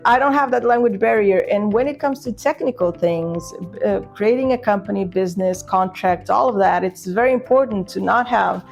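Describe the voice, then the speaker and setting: A woman's voice: medium pitch, normal speed, gravelly, soft background music. A woman in her forties from Portugal, speaking fluent English as a second language and telling a personal story candidly, acting out remembered conversations. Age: 40s. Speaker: business owner telling their career story.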